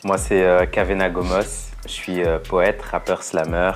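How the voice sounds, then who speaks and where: A man's voice: sits low, flat delivery, unhurried, roomy sound, soft background music. A man in his twenties from Congo, insistent and firm as a street interviewee. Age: 20s.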